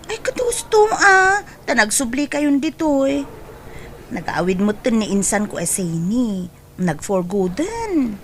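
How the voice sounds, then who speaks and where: A woman's voice: on the high side, unhurried. A woman playing an envious, hard-up younger cousin in a comedy drama, chatty, pleading and exclamatory, with faint noise behind her. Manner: exclamatory, expressive. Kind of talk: Ilocano comedy drama acting.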